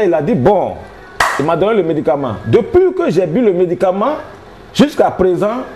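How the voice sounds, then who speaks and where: A man's voice: a little high, mellow, slight background noise. A man in his thirties with an Ivorian French accent, a traditional healer telling his story in a rambling, hesitant way. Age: 30s. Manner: rambling, hesitant, laughing often. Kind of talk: casual interview.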